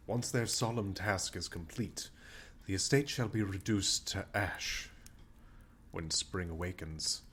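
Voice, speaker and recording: A man's voice: low voice, a little hiss or hum. A man playing a noble, benevolent baron who speaks with formal, grandiose solemnity in a fantasy audio drama.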